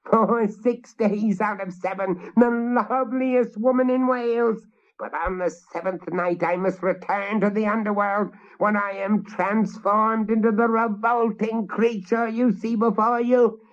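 A man voicing a vengeful old fairy witch woman with a shrill, mocking voice, in a slightly muffled recording. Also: steady tone, a little slow.

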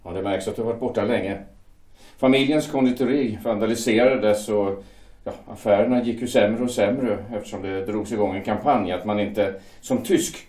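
A dutiful, worried shipyard foreman, played by a man in an audio drama. He speaks hesitantly, with a low voice. The room echoes noticeably. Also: a little mellow, natural voice. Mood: grave. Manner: hesitant, defensive. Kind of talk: amateur audio drama acting.

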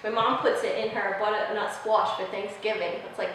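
A young woman chef giving a casual, animated cooking demonstration to a class, speaking informally with lots of "like". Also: medium pitch, moderate pace. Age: young adult. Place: large classroom, indoors. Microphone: distant camera microphone. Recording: echoey room, distant mic, noisy.

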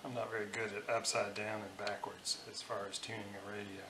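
A man giving an informal hands-on technical demonstration as an electronics hobbyist, halting and thinking aloud, recorded in a room at home on a camera's built-in microphone. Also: lowish voice, little pitch movement. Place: room in a house. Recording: roomy echo, faint background noise.

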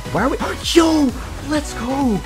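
A young man with a slightly raspy voice, giving hyped, chatty commentary while opening loot in a game, recorded in his bedroom. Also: very high voice, slow, music in the background. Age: young adult. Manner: hyped, chatty, bursts of excitement. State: slightly raspy voice after yelling. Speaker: gaming YouTuber. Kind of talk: excited gameplay commentary.

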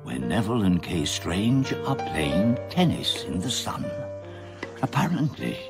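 Mystery narrator, man, very slow, deep, ominous, music behind. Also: big pitch swings, exaggerated voice. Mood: suspenseful. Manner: ominous, deliberate. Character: ominous, measured male narrator of a murder mystery. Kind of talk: dramatic scripted narration.

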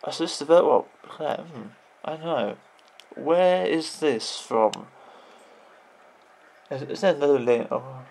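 A man in his thirties with a croaky voice, rambling off the cuff as he vlogs about video games at his computer, full of restarts and "you know"s. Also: fairly high pitch, a little slow, long pauses, darkish tone. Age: 30s. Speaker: video game vlogger. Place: indoors at a computer.

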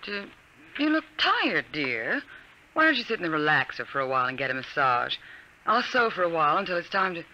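A woman playing an indulgent, amused mother in a 1950s radio drama, gently teasing and placating. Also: fairly low pitch, sing-song, brisk, lo-fi sound. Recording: vintage 1950s radio recording, faint noise.

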